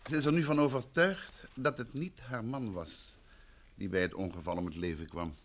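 An actor playing a composed, probing detective husband in an old Dutch radio drama, speaking with polite, measured questions. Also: male voice, on the low side, natural voice. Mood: courteous, probing.

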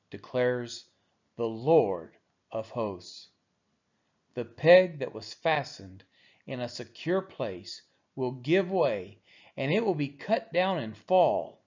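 Slow, expressive man reading scripture. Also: medium pitch. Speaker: Bible reader. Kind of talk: solemn scripture reading aloud. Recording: slightly dull, lo-fi audio.

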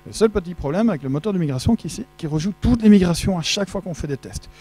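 Middle-aged guy joking thru a dev talk on a handheld mic, mellow voice, fairly high. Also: expressive, fast talker, breathy edge. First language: French. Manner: chatty, jokey, asks the audience questions. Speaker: conference speaker. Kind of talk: casual tech conference talk. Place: large dark auditorium with projection screen. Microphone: handheld microphone.

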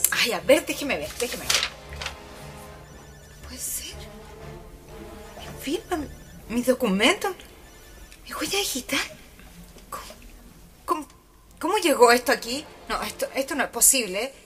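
Shrill, panicked Chilean woman. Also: very animated, a little slow, pauses often, a bit bright, exaggerated voice. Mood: stunned. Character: distraught, indignant woman, panicked and desperate. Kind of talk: radio drama acting. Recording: background music under voice.

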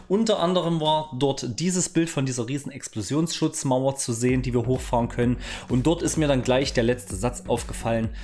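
A man's voice: mid-range voice, fairly quick, few pauses, a little mellow. A man gives casual gaming news in a chatty, colloquial way, close on a studio microphone. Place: small home streaming room. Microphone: close studio microphone on arm. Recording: music under the voice. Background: background music.

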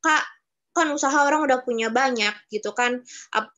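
A woman's voice: high voice, moderate pace, sharp tone, lo-fi sound. A woman in her twenties teaching students in a casual, chatty way over a video call. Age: 20s. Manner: chatty, addresses students as younger siblings. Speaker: webinar speaker.

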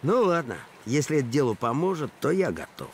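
A man voicing a good-natured, simple, excitable dog in a children's cartoon. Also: mid-range voice, a little fast, a little hiss or hum. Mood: resigned. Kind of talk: animated children's cartoon dialogue.

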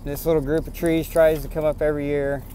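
A man's voice: a little high, flat delivery, quick pace, warm tone, slight background noise. Indignant man ranting from his truck. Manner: indignant, rambling, repeats himself. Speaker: vlogger. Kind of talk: casual rant to camera. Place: in a pickup truck on farm roads, then outdoors on rural land.

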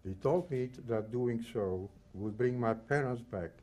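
A man in his eighties from the Netherlands and Belgium, reading a prepared personal testimony in measured, solemn short phrases. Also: mid-range voice, darkish tone. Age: 80s. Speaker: Holocaust survivor giving testimony.

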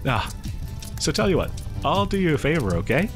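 A man's voice: on the high side, sing-song, brisk, soft background music. A man playing a chatty, creepily friendly killer posing as a partygoer in a horror audio roleplay, rambling hesitantly and conspiratorially with chuckles. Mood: gleefully menacing. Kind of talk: horror audio roleplay, casual storytelling to listener.

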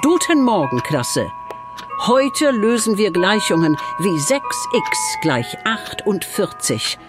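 A woman's voice: medium pitch, big pitch swings, slow, music in the background. A woman voicing a strict female math teacher in a children's audio drama, speaking in a firm, instructive way.

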